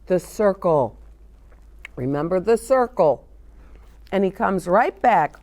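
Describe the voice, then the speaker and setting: Lady doing story time for kids, slow pace, super lively singsong voice, chats right at the little listeners. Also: medium pitch. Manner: animated, sing-song, addresses children with questions. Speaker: children's storyteller and show host. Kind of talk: read-aloud and chat for young children.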